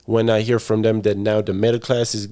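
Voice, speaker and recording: Low-voiced laid-back man chatting. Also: level tone, a little fast, mellow. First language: English. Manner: laid-back, repeats himself. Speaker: podcast guest, barber. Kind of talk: casual interview chat.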